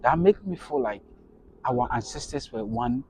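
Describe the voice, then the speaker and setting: A man's voice: mid-range voice, normal speed, slight background noise. A young man with a Ghanaian accent chatting casually as a travel vlogger, often repeating words. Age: young adult. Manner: animated, repeats and echoes words. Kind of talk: casual on-location interview chat.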